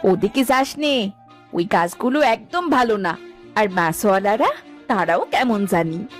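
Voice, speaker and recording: A woman's voice: medium pitch, animated, a little slow, close to an everyday voice. A woman voicing a protective village elder in a cartoon, fussing over a child with firm commands. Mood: scolding. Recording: music behind the voice.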